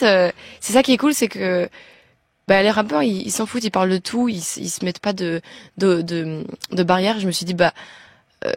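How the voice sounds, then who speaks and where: Young woman, Belgian French accent, low-ish voice, dry studio sound, stumbling chatty guest. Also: no echo. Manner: hesitant, many restarts, chatty. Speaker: singer guest. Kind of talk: radio interview. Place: radio studio.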